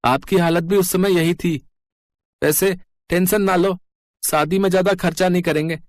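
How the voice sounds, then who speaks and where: A man's voice: high voice, fairly even intonation, moderate pace, dry recording, clean studio sound, natural voice. A man voicing an impatient, cheeky young beggar eager to be a groom in a cartoon.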